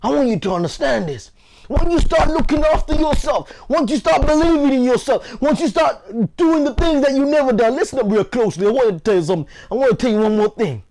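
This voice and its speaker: Male motivational speaker, very high register, emphatic exhorting delivery with insistent repetition. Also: lively intonation, fast, hardly any pauses, a little mellow, poor audio. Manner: emphatic and exhortative, repeating phrases. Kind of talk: motivational speech with acted storytelling.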